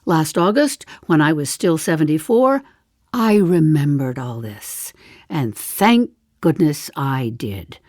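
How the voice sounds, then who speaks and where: An actress in her seventies playing a darkly comic old woman in an audio drama monologue, confiding in a deliberate way with dry understatement. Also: fairly low pitch, dry recording. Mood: earnest. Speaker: actor in a one-woman play.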